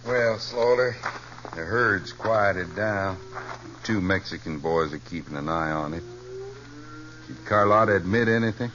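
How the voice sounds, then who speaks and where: An American actor playing a wary, plain-spoken cowhand in a 1950s radio western. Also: male voice, low-pitched, measured pace, fairly bright tone. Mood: matter-of-fact. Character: level-headed, wary male cowhand. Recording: dull, faintly hissy 1950s radio broadcast.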